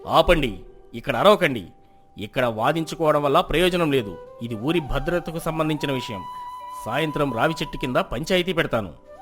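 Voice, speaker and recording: A stern, authoritative village lord acted by a man presides in a folk moral tale. His delivery is measured and formal but animated, with music underneath. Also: fairly high pitch. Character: authoritative village landlord judge, stern, just elder man. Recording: music behind the voice.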